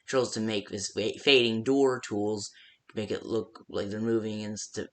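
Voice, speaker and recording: A man casually improvising phone-call style guide messages for a fan-made game, rambling and haltingly restarting his sentences. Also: fairly low pitch, steady tone, a bit bright. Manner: rambling, halting, self-interrupting with stammered restarts.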